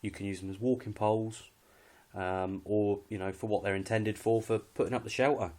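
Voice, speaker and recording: A man's voice: on the low side, steady tone, brisk, darkish tone. A man with a British accent casually walking through his kit, unscripted, in an understated, matter-of-fact and slightly hesitant way. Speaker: hobbyist presenter showing his kit. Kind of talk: casual unscripted gear walkthrough.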